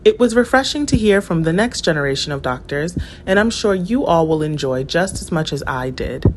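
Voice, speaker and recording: A woman's voice: sits low, lively intonation, a little fast, a little hiss or hum. A young woman hosting a podcast, upbeat and chatty, laughing easily. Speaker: podcast host, junior doctor.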